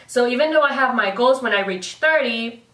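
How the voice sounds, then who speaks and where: Female guest, twenties, bright voice, earnest and rambling, roomy echo. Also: on the high side, animated, brisk. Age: 20s. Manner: earnest, rambling, with frequent restarts. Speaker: guest, fashion blogger. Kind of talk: casual chat. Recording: echoey room, mic at a distance.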